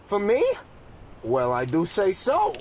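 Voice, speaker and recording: A man playing a crude, folksy old sailor in a parody of a 1940s radio play, with a gravelly voice. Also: high voice, wildly swooping pitch, slow, slight background noise, heavily acted. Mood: friendly, crude. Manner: folksy, swearing, exclamatory delivery. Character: foul-mouthed salty old sailor, cheerfully crude.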